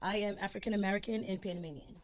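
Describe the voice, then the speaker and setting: A woman's voice: medium pitch, fairly even intonation, racing, a crowd in the background. A woman from Queens, chatting easily as a podcast guest and often repeating herself. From Queens, New York. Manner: laid-back, repeats herself, lots of you-knows. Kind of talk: casual interview chat.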